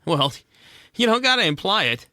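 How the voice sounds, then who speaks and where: A man's voice: high-pitched, big pitch swings, moderate pace, sharp tone, dry recording, studio quality, exaggerated voice. A man playing a cynical American penguin private eye, narrating in slangy, world-weary 1940s noir style. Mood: guilty, bitter. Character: hard-boiled penguin detective, cynical and guilt-ridden. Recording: music behind the voice.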